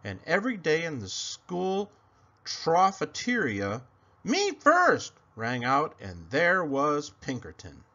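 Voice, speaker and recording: A man reading a children's picture book aloud in an animated, dramatic, sing-song way. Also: fairly high pitch, takes it slowly. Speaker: read-aloud storyteller. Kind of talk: expressive children's story reading. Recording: dull lo-fi sound.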